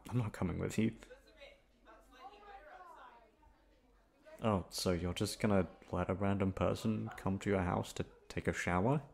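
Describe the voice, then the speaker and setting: Low-voiced shy young college guy, acted by a man. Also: gravelly, darkish tone. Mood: surprised, awkward. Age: young adult. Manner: hesitant, halting, awkward chuckles. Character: shy, easygoing young male college classmate. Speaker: voice actor. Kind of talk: ASMR roleplay audio drama, casual conversational.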